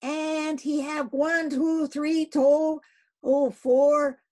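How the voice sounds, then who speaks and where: A woman voicing an excited male harpooneer who speaks in halting, broken phrases. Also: sits high, voice pushed up.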